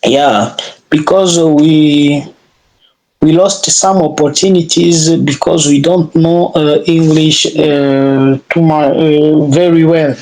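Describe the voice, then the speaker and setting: Slow, halting African man speaking English. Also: fairly high pitch, steady tone, darkish tone, low-quality recording. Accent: East or Central African. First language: Swahili. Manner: halting, searching for words and repeating phrases. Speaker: English learner. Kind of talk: casual conversation practice.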